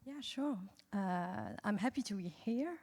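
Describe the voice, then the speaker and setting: A woman's voice: medium pitch, lively intonation, a little slow, a little mellow. A woman from France with a French accent, speaking as a guest at a festival panel in a chatty way, often restarting her sentences. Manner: chatty with frequent restarts and laughs. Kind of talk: conversational panel talk. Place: festival talk venue.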